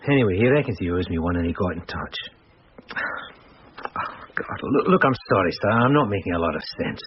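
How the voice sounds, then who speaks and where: An actor playing a hungover, apologetic police detective sergeant in a radio drama, halting and deferential. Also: male voice, low voice, lively intonation. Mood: earnest, muddled. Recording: dull lo-fi radio audio, faint noise.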